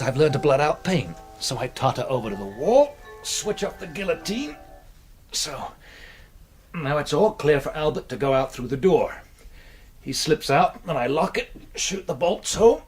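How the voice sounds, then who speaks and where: A man's voice: a little high, big pitch swings, unhurried, faint music behind. An actor with a British accent playing a bossy, theatrical, wily doctor who explains like a showman in a radio drama. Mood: triumphant, showy. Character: commanding, theatrical, wily gentleman doctor turned amateur sleuth.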